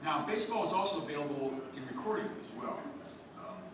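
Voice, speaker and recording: A man speaks casually to a room full of fans, running through lists with friendly asides. He is picked up from far off in a very echoey meeting room. The sound is dull and carries a steady hiss. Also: fairly high pitch, fast talker. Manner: rambling, listing items, friendly asides to the audience. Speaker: author giving a convention talk. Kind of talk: informal lecture to a live audience. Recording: distant echoey room mic, muffled with background hiss.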